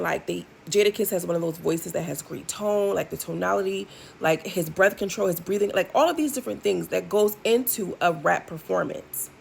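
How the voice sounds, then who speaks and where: A woman's voice: medium pitch, vocal fry, slight background noise. A woman in her thirties giving blunt, sassy music commentary for her video channel, with lots of ums and asides. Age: 30s. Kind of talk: casual opinion commentary.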